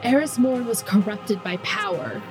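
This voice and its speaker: Frightened female Exo, anguished, pleading, read by a woman, slow. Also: mid-range voice, animated, music in the background, natural voice. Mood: bitter.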